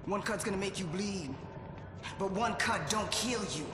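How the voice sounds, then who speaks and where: A man's voice: high voice, moderate pace, background noise, lightly acted. An actor playing a menacing, vengeful gang leader who rouses his followers with a taunting, preacher-like speech in a game. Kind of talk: dramatic rabble-rousing speech to a crowd. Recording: very echoey hall, loud music and crowd.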